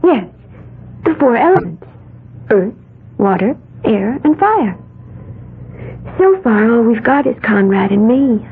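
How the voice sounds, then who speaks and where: Radio drama, eccentric young dreamer: high, gentle, earnest; narrow old broadcast sound. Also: female voice, animated, takes it slowly, long pauses, slightly rough, heavily acted. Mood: gentle, wistful. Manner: earnest, gentle, otherworldly musing. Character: dreamy, eccentric young woman, self-styled priestess of the elements. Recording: thin, band-limited old radio recording with hiss.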